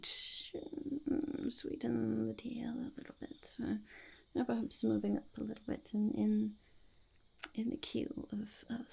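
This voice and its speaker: A woman voicing a scheming, self-important goblin in a whispery ASMR roleplay, halting and mock-formal as the goblin interviews would-be henchmen. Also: on the high side, darkish tone, heavily acted. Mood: probing, secretive. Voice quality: whispery, breathy, creaky.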